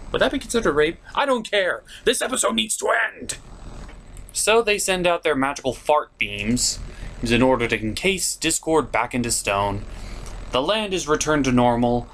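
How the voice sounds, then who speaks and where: A man hosts a review with theatrical, exasperated delivery. His pitch swings widely as he builds each complaint in opinionated commentary. Some background noise sits under the voice. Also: a bit bright. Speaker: host of a cartoon review show.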